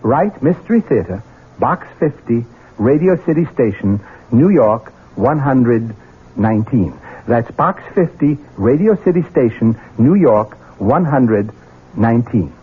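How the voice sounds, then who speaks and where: An American man delivers a scripted radio promotion. His voice is mid-pitched and very rough, with lively swings. The seventies broadcast sounds thin and muffled. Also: measured pace. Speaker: radio announcer.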